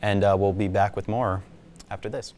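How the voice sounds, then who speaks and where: A man's voice: lowish voice, little pitch movement, normal speed, a little mellow. A young man co-hosting a movie review show, easygoing and casual, recorded on a lapel mic in a small TV studio. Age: young adult. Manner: easygoing, short agreeing replies. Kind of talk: casual chat.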